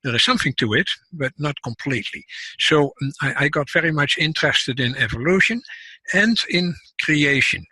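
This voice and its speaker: Older Dutch-accented man guesting on an interview, slightly husky voice, dry close sound, careful explanations. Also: fairly high pitch, animated, bright, forceful tone, no room sound, low-quality recording. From Netherlands. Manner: measured, explanatory, frequent restarts. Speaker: guest scientist and author. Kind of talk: casual interview talk.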